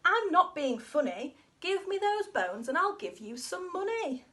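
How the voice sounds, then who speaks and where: A woman's voice: extremely high pitch, very animated, measured pace, fairly bright tone, exaggerated voice. A woman voicing a firm female professor from a children's storybook in an emphatic, no-nonsense manner. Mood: bargaining.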